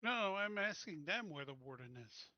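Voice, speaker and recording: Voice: medium pitch, animated, moderate pace, dry recording, lo-fi sound. A player in a tabletop game asking the game master questions casually and hesitantly.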